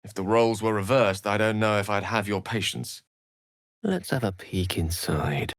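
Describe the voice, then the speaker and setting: A man's voice: on the low side, lively intonation, moderate pace, no room sound, clean studio sound. A man voicing an articulate, bookish human wizard in a video game, wry and gallant, with theatrical, wordy delivery. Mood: curious, polite. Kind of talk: video game character acting.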